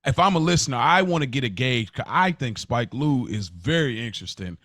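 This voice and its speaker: A man in his thirties with an American accent talks as a podcast co-host in a mid-pitched voice. His delivery is casual and unscripted, full of slang and animated repetition. The sound is dry, with very little room in it. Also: fairly quick, dry recording.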